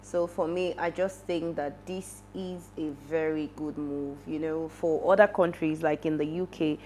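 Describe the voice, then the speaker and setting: Low-pitched Nigerian woman on TV. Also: fairly even intonation, measured pace, darkish tone, slight background noise. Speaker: TV talk show panelist. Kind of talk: news review, reading and commenting. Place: TV studio, at a desk.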